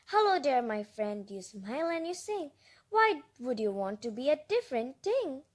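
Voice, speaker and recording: A woman voicing a friendly female storybook fairy who grants wishes in a gentle, obliging manner. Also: high voice, very animated, moderate pace. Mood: gentle, curious.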